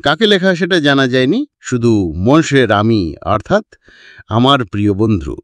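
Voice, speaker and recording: A man's voice: mid-range voice, very animated, measured pace, a bit bright, dry recording. A man voicing an elderly antique collector in a story, with a harsh, crabby voice and English phrases mixed into his speech. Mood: confiding. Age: older. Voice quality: harsh, grating. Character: crabby old male antique collector, impressed by learning.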